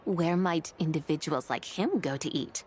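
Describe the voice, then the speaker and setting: A woman voicing a serene, dignified immortal adept in a video game, measured, formal and courteous. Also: sits low, a little mellow, slight background noise, lightly acted. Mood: politely curious. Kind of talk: video game cutscene acting.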